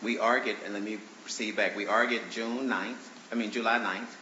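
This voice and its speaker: A man with a low voice, congested and a little deeper than usual from allergies, argues his case in a courtroom. He talks haltingly and earnestly, with a forceful edge, through fillers and restarts. The sound is roomy and dull, picked up by a courtroom stand microphone. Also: fairly even intonation. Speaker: plaintiff arguing his own motions. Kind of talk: courtroom argument. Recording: roomy, dull courtroom recording with faint hiss.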